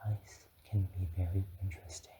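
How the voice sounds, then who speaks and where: A man voicing a gentle young dragon guide in a soft, often whispered ASMR guided meditation, speaking soothingly. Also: sits low, flat delivery, moderate pace, background noise, close to an everyday voice. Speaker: ASMR performer.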